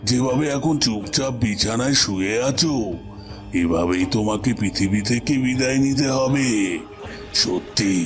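A man playing a scheming evil tantric sorcerer in a cartoon, with a menacing, drawn-out and mock-pitying delivery. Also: mid-range voice, expressive, close to an everyday voice. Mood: mock pity, menace. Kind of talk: dramatic cartoon voice acting. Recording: music bed under the voice.

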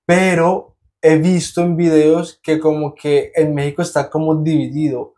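A man's voice: on the high side, little pitch movement, a little slow, dark tone. A Colombian man in his thirties hosting a reaction video, amused and laughing, talking straight to viewers on a lapel mic. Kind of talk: casual reaction commentary. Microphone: clip-on lapel mic.

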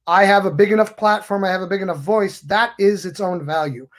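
A man's voice: sits high, a little fast. A middle-aged man, a magician guest, talking in an expansive, philosophical way during a casual podcast chat over a video call. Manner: expansive, philosophical, gestures a lot.

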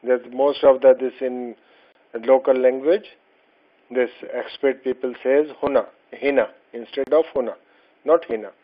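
A man teaching an Arabic textbook lesson, who is not a native speaker of Arabic, explaining slowly and patiently with frequent fillers and restarts. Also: mid-range voice, fairly even intonation. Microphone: voice-over over slides. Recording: dull lo-fi voice-over.

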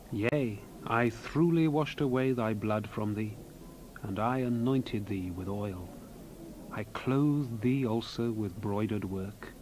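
An actor playing a solemn prophet who delivers God's reproach in a dramatized scripture reading, with grave declamatory delivery. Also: male voice, lowish voice, slight background noise, close to an everyday voice, poor audio. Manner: grave, declamatory, archaic diction.

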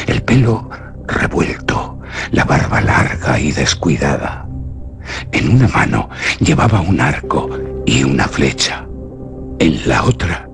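An actor playing an anguished, feverish lost man in a horror radio play, with a Castilian Spanish accent and a theatrical, halting, solemn delivery. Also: extremely low pitch, gravelly, full character voice. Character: anguished, feverish lost man narrating his own haunting. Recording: noisy radio drama recording.

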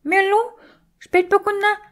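A woman voicing a small, eager male house-elf servant, shrill and deferential, in an audiobook story. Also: fairly even intonation, measured pace, a bit bright. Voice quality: shrill, squeaky.

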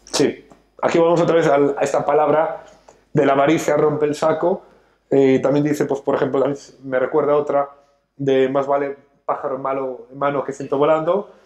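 A man's voice: medium pitch, little pitch movement, normal speed, darkish tone. Emphatic man lecturing on a sofa. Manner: emphatic, lecturing, gestures a lot while talking. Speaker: financial educator, show host. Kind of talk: studio talk show discussion. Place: studio set, seated on a sofa.

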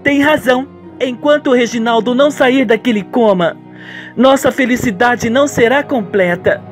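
A man's voice: very high-pitched, normal speed, soft background music, pitched above the performer's own voice. A man voicing an affectionate young woman in a radio soap, with a tender, gushing, cooing manner.

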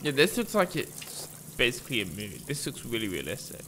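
A man's voice: medium pitch, wildly swooping pitch, brisk, noisy background. A young man giving casual reaction commentary in a hesitant, rambling, repetitive way. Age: young adult. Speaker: video host reacting to a game trailer. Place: small panelled room at home. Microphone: desk microphone on a boom arm.

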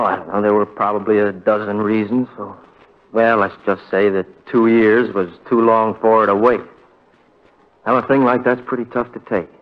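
An actor playing a haunted, heartbroken young man, telling his story with halting, conversational pauses in 1940s radio drama style. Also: on the low side, lightly acted. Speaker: narrator character. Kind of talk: 1940s radio drama first-person narration. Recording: muffled vintage radio broadcast with music.

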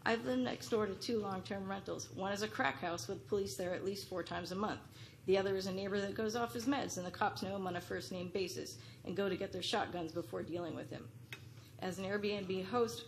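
A woman's voice: mid-range voice, fairly quick, gravelly, a little hiss or hum, rough recording. A middle-aged woman reads an earnest, pleading prepared statement as a public commenter at a podium microphone in a large council chamber. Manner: earnest, pleading, read from notes.

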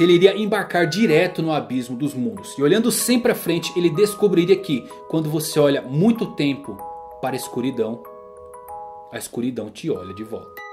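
A man's voice: a little high, darkish tone. A man with a Brazilian accent delivering dramatic scripted narration, like a storyteller explaining a saga. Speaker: narrator of an anime and manga explainer channel.

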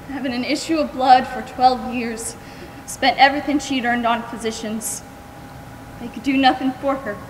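An actress on a theatre stage playing a gentle, devout woman who comforts the dying, speaking tenderly and deliberately. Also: sits high. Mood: solemn recitation. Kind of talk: stage play acting. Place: large theatre stage, recorded from the audience. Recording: echoey theatre stage, some hiss.

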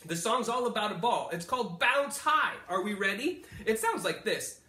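Silly, animated male music teacher. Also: moderate pace. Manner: silly, animated, jumps into high squeals. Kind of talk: playful kids' music lesson. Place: living room couch. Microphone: far camera. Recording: roomy living room echo.

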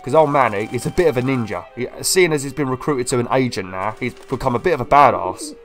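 Young gamer with a cold; chatty, excitable walkthrough talk, mid pitch. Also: male voice, quick pace, soft background music. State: slightly ill, sniffly. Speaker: gameplay video host. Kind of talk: casual let's-play commentary.

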